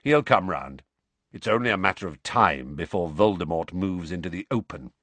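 A man's voice: sits low, animated, normal speed, a bit bright, dry recording. A man voicing a brusque middle-aged wizard who speaks abruptly and bitterly, in an audiobook reading. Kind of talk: audiobook character voice.

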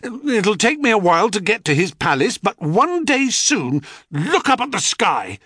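A man voicing a proud, sulky boy, the son of a god, who talks high and defiant. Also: big pitch swings, a little slow, a bit bright, husky, dry recording, studio quality, heavily acted. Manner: high-pitched, boastful, defiant.

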